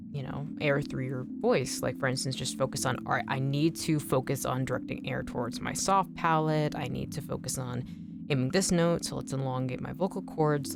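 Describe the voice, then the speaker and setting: A young woman streamer with a slightly raspy, nerdy voice, chatting casually and encouragingly to her viewers in a rambling way. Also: sits low. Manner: rambling, encouraging, lots of "like" and restarts. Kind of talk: casual chat to stream viewers while drawing. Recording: music playing in the background. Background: music playing.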